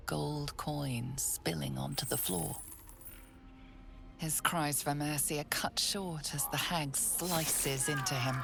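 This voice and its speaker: An actress playing the grave storyteller of a dark fantasy game, narrating in a measured, hushed and ominous way. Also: female voice, deep voice, gravelly, heavily acted. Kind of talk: scripted second-person game narration.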